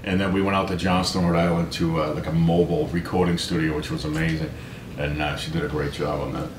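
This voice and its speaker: A man's voice: flat delivery, fast talker. A middle-aged American man chatting as an interview guest, telling anecdotes in a self-deprecating, conversational way full of check-in tags. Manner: self-deprecating, chatty, peppers talk with 'right?' and 'you know'. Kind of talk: casual anecdotal storytelling in an interview. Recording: roomy echo, low rumbling background noise.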